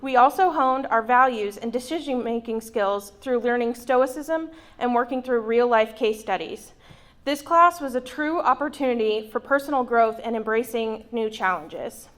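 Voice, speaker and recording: A woman's voice: a little high, normal speed, a bit bright. A woman giving an earnest prepared speech about a local leadership program she completed. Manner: earnest, read-from-notes delivery. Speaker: program graduate and committee member. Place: event room at a college.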